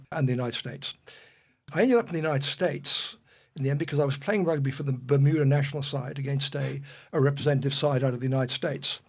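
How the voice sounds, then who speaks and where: A man's voice: medium pitch, a little mellow. Older man from Wales, interview guest, meandering delivery with lots of ums. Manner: rambling with frequent fillers and restarts. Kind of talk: relaxed reminiscing.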